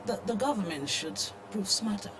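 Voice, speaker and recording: A woman with a Nigerian accent co-hosting a conversational news discussion in halting, hesitant phrases. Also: medium pitch, lively intonation. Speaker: talk show co-host discussing the news. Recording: faint background noise.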